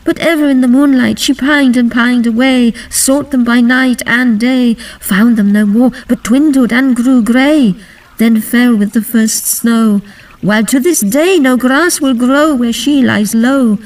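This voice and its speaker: A woman performs an earnest young maiden from a Victorian fairy-tale poem. Her voice is high and emphatic, with a theatrical storybook delivery. She sounds slightly distant from the microphone. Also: a little slow, close to an everyday voice. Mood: worried, chiding. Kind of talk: dramatic poetry reading.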